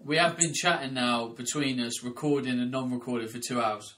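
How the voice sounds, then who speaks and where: A man's voice: medium pitch, steady tone, brisk, pushed and bright, far from the mic. A man hosting a podcast, talking bluntly and casually. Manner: blunt, chatty, says mate. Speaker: podcast host.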